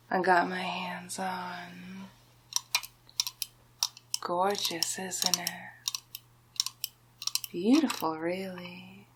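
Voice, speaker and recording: A woman playing a scheming, power-hungry royal vizier in an ASMR villain roleplay, speaking softly and deliberately with theatrical menace and often dropping into a whisper. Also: on the low side, background noise. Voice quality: soft, breathy, half-whispered.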